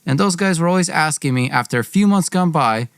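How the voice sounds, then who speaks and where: A man's voice: on the high side, lively intonation, a little fast, clean tone, no room sound. Young man telling a dry, close-miked story. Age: young adult. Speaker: podcast host and storyteller. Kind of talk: dramatized personal storytelling.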